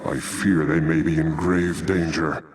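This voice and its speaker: An actor playing a noble, calm and resolute alien commander in a video game, speaking formally and gravely. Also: male voice, rumbling low, measured pace, recorded from a distance, background noise. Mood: grave concern. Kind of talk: scripted video game acting.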